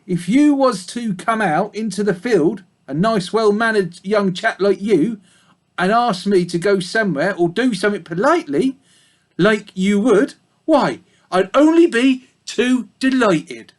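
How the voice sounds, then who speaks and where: A rustic old ewe, as a man reading a storybook aloud puts her on, her voice high and loud with a slow country drawl. She grumbles in broad dialect, hesitant and chatty, gentle underneath. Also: expressive. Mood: wistful. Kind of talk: reading a children's book aloud.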